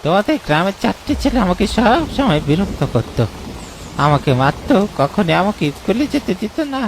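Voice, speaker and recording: Man voicing plaintive vengeful ghost boy, high-pitched. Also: very animated, normal speed, vocal fry, a little hiss or hum, full character voice, rough recording. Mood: anguished, accusing. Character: anguished vengeful ghost of a bullied boy who hanged himself. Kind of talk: animated horror story dialogue.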